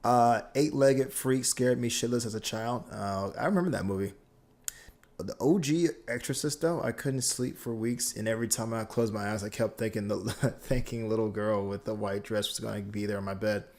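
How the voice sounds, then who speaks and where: A man's voice: fairly low pitch, steady tone, moderate pace, a little mellow. A man hosting a reaction stream, chatting casually with lots of slang and swearing and telling stories in a loose, rambling way. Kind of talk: casual reaction chat, reading viewer comments.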